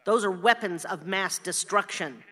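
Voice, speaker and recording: A woman playing a feisty, sharp-tongued older wife in a radio play, blunt and exasperated as she scolds. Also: medium pitch, unhurried. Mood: indignant, scolding. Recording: long reverberant hall sound.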